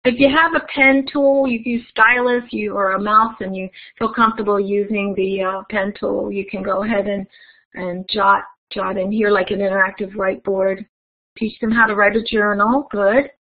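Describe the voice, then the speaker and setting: A woman's voice: medium pitch, fairly even intonation, moderate pace. A woman giving a conference keynote as a webinar presentation, friendly and guiding the audience through an interactive activity. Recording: tinny phone-like webinar audio.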